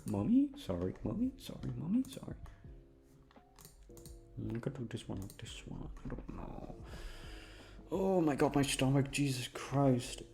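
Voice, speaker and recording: A young man talks casually at an unhurried pace, his pitch moving around a lot. He is goofy and self-deprecating, swears freely and wanders from thought to thought. Music plays clearly behind him. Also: very animated, dark tone. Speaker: audio content creator. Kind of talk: rambling casual chat. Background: background music.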